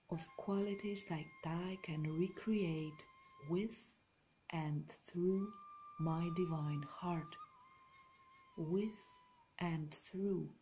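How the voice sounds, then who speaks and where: Slow-speaking woman guiding a meditation. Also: on the low side. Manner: soothing, measured, deliberate phrasing with pauses. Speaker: meditation guide. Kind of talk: guided meditation narration. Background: meditation music.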